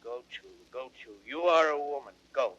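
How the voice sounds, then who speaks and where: An actor playing a blustering, boastful old fat drunken knight in a theatrical old radio Shakespeare drama. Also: male voice, on the high side, normal speed, fairly bright tone, lo-fi sound, close to an everyday voice. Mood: indignant bluster. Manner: blustering, theatrical, swooping delivery.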